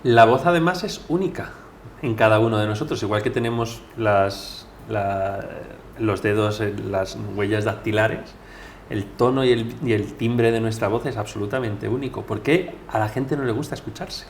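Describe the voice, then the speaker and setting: A man's voice: lowish voice, moderate pace. Male podcast interviewer, faint background noise. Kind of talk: conversational interview with introductions.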